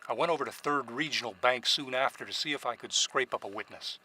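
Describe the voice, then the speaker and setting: An actor playing a cool, curt private investigator who talks and narrates in a businesslike 1940s radio noir style. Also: male voice, mid-range voice, fast, bright, forceful tone, a little hiss or hum. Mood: cool, narrating. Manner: clipped, businesslike, unruffled.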